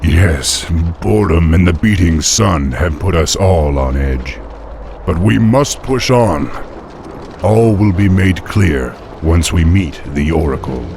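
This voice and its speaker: An actor playing a young orc war chief in a fantasy game, noble, earnest, grave and resolute. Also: male voice, deep voice, expressive, takes it slowly, vocal fry, background noise, exaggerated voice.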